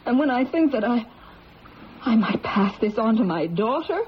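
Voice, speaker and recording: A woman's voice: on the high side, big pitch swings, normal speed. An actress playing an anguished, defiant young mother with earnest, intense delivery in a radio mystery drama. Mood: desperate. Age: young adult. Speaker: actor. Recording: muffled, hissy old radio broadcast.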